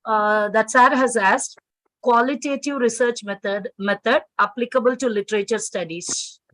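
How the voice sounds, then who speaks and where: A woman's voice: fairly high pitch, normal speed, a bit bright, no echo, low-quality recording. A woman moderating questions from the chat at an online workshop, polite and deferential. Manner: polite, addresses speaker as ma'am and sister. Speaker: session moderator. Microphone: video call.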